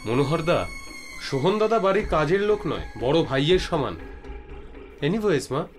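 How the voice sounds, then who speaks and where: An actor playing a bold, protective young police inspector with a commanding, swaggering manner in a dramatized story. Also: male voice, a little high, sing-song, moderate pace. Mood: assured, brisk. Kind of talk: dubbed animated crime story dialogue. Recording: music behind the voice.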